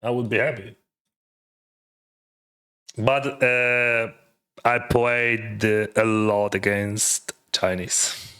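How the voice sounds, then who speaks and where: A man's voice: on the low side, steady tone, very slow, pauses often, bright, forceful tone, smooth. A man streaming poker, chatting casually and thinking his plays through aloud in lightly accented English. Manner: mildly accented non-native English. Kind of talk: casual live-stream commentary.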